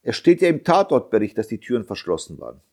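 A man's voice: medium pitch, big pitch swings, quick pace, darkish tone. A man in his fifties from Germany, giving an impassioned interview as a bereaved father, indignant and emphatic, repeating his points and firing off rhetorical questions.

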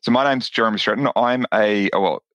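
A low-pitched Australian man chats as a podcast guest with an eager tone. He trips over his words and restarts often. The room sounds dry, with no echo. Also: brisk, fairly bright tone, muffled recording. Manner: enthusiastic, with frequent restarts and repeated words. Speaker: podcast guest, lawyer and business owner. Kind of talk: casual interview chat.

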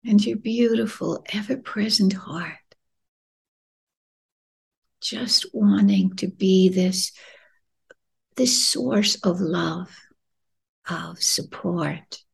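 A woman leading a guided meditation in a gentle, soothing, breathy voice that often drops near a whisper, unhurried with long pauses. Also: medium pitch, steady tone. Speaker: podcast host and meditation guide.

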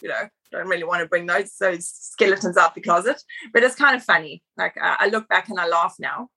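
A woman's voice: on the low side, fairly quick, vocal fry, pushed and bright, husky, rough recording. A woman from South Africa, with an accent that mixes South African and Australian, chatting easily as a podcast guest and laughing at herself. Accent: mixed South African and Australian. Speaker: podcast guest, personal trainer. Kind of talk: casual interview chat.

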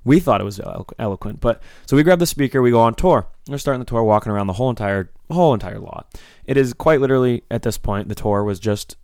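A young man co-hosting a podcast with a slightly raspy voice, telling a long party story in a rambling, animated way with lots of fillers. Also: mid-range voice, fast talker, few pauses, no room sound, clean studio sound. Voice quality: a little raspy. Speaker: podcast co-host. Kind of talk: casual storytelling chat.

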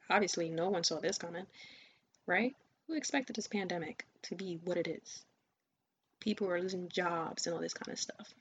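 A woman podcast host and coach giving an earnest, encouraging motivational talk, often dropping her voice to a near whisper. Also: lowish voice. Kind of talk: motivational monologue. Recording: dull lo-fi sound with faint ambient noise.

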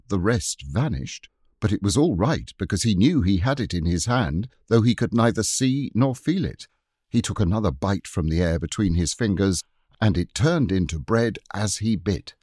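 A man narrating a classic children's book, expressive and wryly amused, read into a close studio mic. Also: lowish voice, slightly rough, dry recording. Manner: expressive, wry. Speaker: audiobook narrator. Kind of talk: storybook narration.

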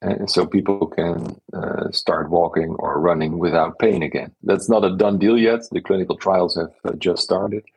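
A man with a husky voice, explaining examples conversationally as an investor being interviewed. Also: sits low, steady tone, normal speed, hardly any pauses, a little mellow, rough recording. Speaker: interview guest, venture investor.

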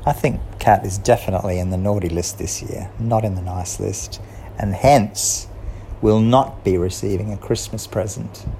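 A man's voice: fairly low pitch, steady tone, vocal fry, mellow, slight background noise, low-quality recording. Stern storybook Santa, as a man puts him on. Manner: measured, disapproving. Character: stern, fair Santa Claus. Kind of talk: bedtime storybook voice.

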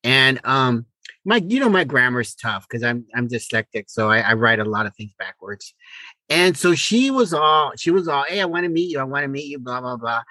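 A middle-aged man co-hosting a podcast in casual conversation, blunt and joking in an animated way. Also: mid-range voice, normal speed, no echo, rough recording. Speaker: podcast co-host.

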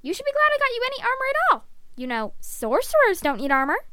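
A woman voicing a fed-up dark elf mother in a storybook, scolding and emphatic. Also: sits high, big pitch swings, normal speed, dry recording, studio quality, full character voice.

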